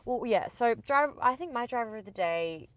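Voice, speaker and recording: Animated young woman podcast host. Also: on the high side, moderate pace. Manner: expressive, animated, many "like" fillers. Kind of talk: casual podcast chat.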